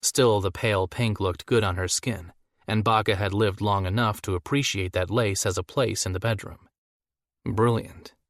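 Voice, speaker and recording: A man narrates a novel in a scripted audiobook style. His voice sits on the low side, and the sound is dry with hardly any room to it. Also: steady tone, moderate pace, no echo.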